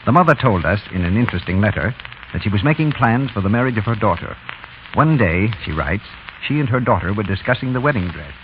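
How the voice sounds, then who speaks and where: A man's voice: fairly low pitch, animated, fast. A man reading scripted advertising narration as a 1930s radio announcer. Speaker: commercial announcer. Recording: muffled, slightly noisy 1930s radio.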